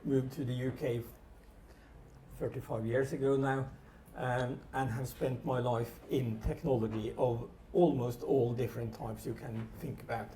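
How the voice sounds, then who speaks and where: A middle-aged man with a Norwegian accent and a mellow, relaxed voice talks informally on a panel. The room is echoey and he sounds a little far from the mic. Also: mid-range voice, a little slow. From Norway. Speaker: technology panelist. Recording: echoey room, distant mic, background noise.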